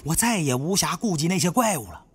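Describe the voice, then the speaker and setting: A man narrating an adventure thriller audiobook in an expressive, suspenseful storytelling style. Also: a little high, fairly quick, slight background noise. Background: background music.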